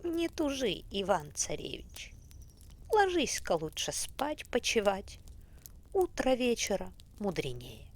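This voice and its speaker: A woman voicing a frog princess in a bedtime storybook, with a high, croaky singsong voice. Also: big pitch swings, slow, exaggerated voice. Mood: coaxing. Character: sweet-talking female frog princess.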